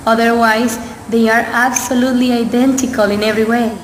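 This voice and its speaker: High-voiced gentle wife, played by an actress, gothic radio drama, wondering, very echoey hall. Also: female voice, little pitch movement, fairly quick, natural voice. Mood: fascinated. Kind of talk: radio play acting. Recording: echoey hall reverb, background noise.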